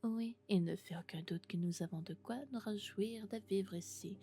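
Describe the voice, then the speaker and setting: A woman playing a friendly, doting female robot in an ASMR roleplay, speaking gently and often in whispers. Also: mid-range voice, normal speed, close to an everyday voice. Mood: gentle, cheerful. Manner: gentle, reassuring, alternating soft speech and whispers. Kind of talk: French ASMR roleplay, soft-spoken and whispered.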